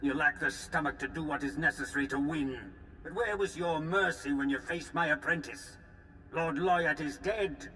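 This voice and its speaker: A sneering sadistic Sith lord. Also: male voice, fairly high pitch, moderate pace, bright, forceful tone, slight background noise. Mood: scornful. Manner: contemptuous, menacing. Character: sadistic arrogant male Sith torturer. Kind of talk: video game cutscene acting.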